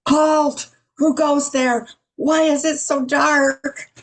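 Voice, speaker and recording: A theatrical fairy-tale gatekeeper, played by a woman. The voice is high and slow, officious but helpful, in radio-play style. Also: fairly even intonation. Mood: challenging. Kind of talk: amateur radio play acting.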